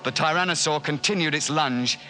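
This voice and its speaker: An actor playing a gruff, scornful, stiff-upper-lip British big-game hunter in 1950s radio drama, with clipped old-fashioned colonial phrasing. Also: male voice, on the high side, normal speed, lightly acted. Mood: dry recounting. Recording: vintage 1950s radio recording, music behind.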